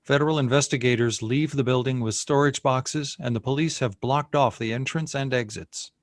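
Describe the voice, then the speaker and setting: A man's voice: mid-range voice, moderate pace, dry recording, clean studio sound. A man reading out scene headings and stage directions as narrator of a screenplay.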